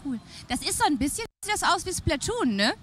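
A woman hosting a live stream tour of a games fair, bubbly and excitable, chatting casually while walking. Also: high-pitched, a little hiss or hum. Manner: bubbly, excitable, quick asides. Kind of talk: casual walk-and-talk chat. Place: busy indoor trade-fair hall.

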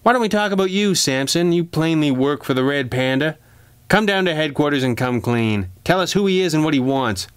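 A man voicing a young undercover policeman in a crime story, brash and defiant, with sharp, challenging retorts. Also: fairly high pitch, lively intonation, brisk, clean tone, no echo, voice pushed up, natural voice.

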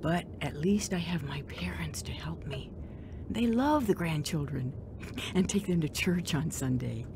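A devout young divorced mother in a radio drama. Her voice is gentle and on the low side. There is clear noise in the background. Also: expressive, a little slow, exaggerated voice. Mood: gentle, encouraging.

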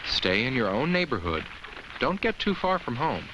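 An actor playing a kindly, earnest talking jack-o'-lantern who narrates a children's safety film with simple, reassuring explanations. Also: male voice, mid-range voice, very animated, moderate pace, natural voice, poor audio. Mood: friendly.

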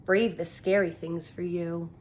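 Slow-paced woman reading to young children. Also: on the low side. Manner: expressive, simple explanatory phrasing for children. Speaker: elementary teacher reading aloud. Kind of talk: picture book read-aloud with commentary for young children. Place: small furnished room indoors.

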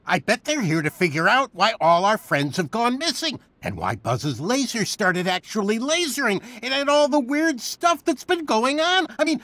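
An actor playing an excitable, anxious toy dinosaur in a video game, gushing and stammering like an eager fan. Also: male voice, very high-pitched, dry recording, exaggerated voice. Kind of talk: animated video game dialogue.

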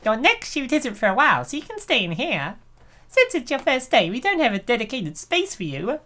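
A woman voicing a chirpy, bossy female photo shoot manager who gives quick, perky commands. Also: fairly high pitch, wildly swooping pitch, bright, forceful tone, pitched above the performer's own voice, heavily acted. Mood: briefing.

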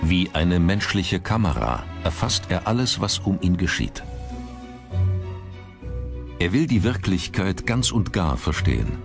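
Very deep male documentary narrator. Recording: music under the voice. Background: background music.

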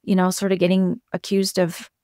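A woman's voice: on the low side, monotone, moderate pace, a little mellow, dry recording, clean studio sound. A woman in her forties hosting a conversational interview over a video call from a home office. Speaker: podcast host.

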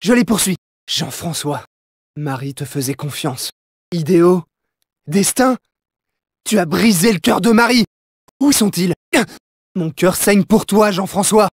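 A young, plucky gentleman thief in a French video game dub. His delivery is showy and cocky but loyal at heart, built on punchy catchphrases. The pitch swings in a lively way, and the sound is close and dry. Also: measured pace, pauses often, husky, no echo, exaggerated voice. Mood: determined.

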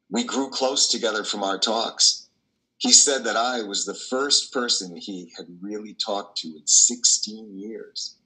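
An older man playing a troubled, tender priest who confesses his doubts haltingly and fervently in a play reading over a video call. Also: fairly low pitch, bright, forceful tone, exaggerated voice, low-quality recording. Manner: halting, fervent, with repeated words. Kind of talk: dramatic monologue in a play reading. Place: wood-panelled room at home, indoors. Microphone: video call, computer microphone.

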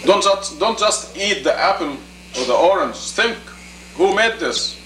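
A man in his fifties giving a sermon as an imam, deliberate and emphatic, in short phrases and rhetorical questions. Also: high-pitched, animated, fairly bright tone. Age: 50s. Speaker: imam, preacher. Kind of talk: sermon, teaching plainly to a congregation. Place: indoor meeting room. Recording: roomy, distant camcorder audio with faint noise.

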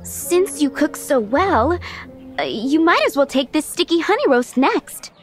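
Game cook, young and female: polite, effusive, very animated pitch. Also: a little slow, soft background music. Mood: proud. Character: friendly, proud young female restaurant cook. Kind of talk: scripted video game dialogue.

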